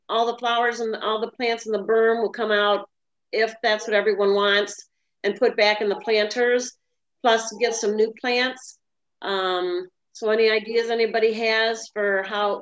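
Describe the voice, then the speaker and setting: An older woman giving an informal committee report at a village board meeting over a video call. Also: mid-range voice. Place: indoor room at home on video call. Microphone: computer mic on video call. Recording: muffled video call audio.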